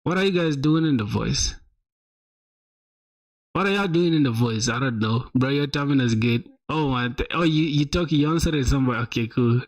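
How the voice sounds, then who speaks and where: A man's voice: medium pitch, moderate pace, rough recording. A young man live-streaming, reviewing viewers' websites in a casual, slangy way, full of "bro" and "my boy".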